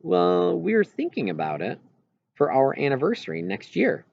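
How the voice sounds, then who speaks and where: A man voicing a direct, quietly bold grandmother in a storybook, husky, with short plain questions and remarks. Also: a little high, huge pitch swings, moderate pace, no room sound, dull audio, pitched above the performer's own voice, full character voice. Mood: curious, decided. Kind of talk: storybook character dialogue.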